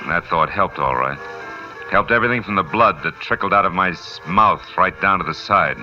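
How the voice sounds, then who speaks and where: An actor playing a tough, wry private detective who narrates in clipped 1950s radio noir style. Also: male voice, deep voice, brisk, lightly acted. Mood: wry, battered. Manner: hard-boiled, wry, clipped. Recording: muffled vintage radio with music.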